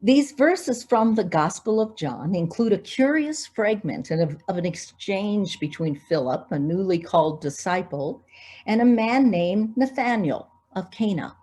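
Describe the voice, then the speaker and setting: A woman's voice: mid-range voice, expressive, unhurried, darkish tone. A woman pastor delivering a prepared, scripted sermon.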